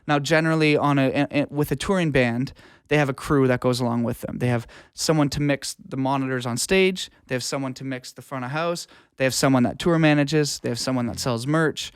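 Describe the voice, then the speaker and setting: Male interview guest: smooth, clear tone, dry sound, conversational and enthusiastic storyteller. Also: medium pitch, fairly quick, no room sound. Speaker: guest, touring live sound engineer and studio producer.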